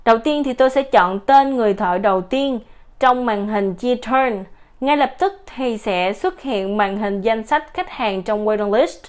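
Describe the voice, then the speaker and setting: A woman giving a steady, explanatory voice-over for a software product demo. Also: fairly high pitch, a little slow.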